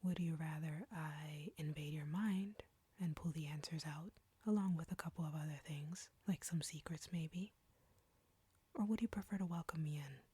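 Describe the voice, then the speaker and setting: Female vampire captor, acted by a woman, audio roleplay: low, close and dry, whispery, slow, smug and flirtatious. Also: warm tone, no echo. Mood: coolly insistent. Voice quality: breathy, whispery. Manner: slow, taunting, condescending.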